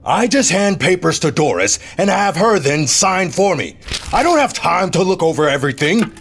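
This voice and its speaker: An actor playing a slick, scheming, domineering city mayor in an audio drama, with a politician's smooth, bossy manner. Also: male voice, high voice, very animated, moderate pace, a bit bright, slight background noise, heavily acted. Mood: irritated, incredulous. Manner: smooth politician's charm turning to bossy irritation.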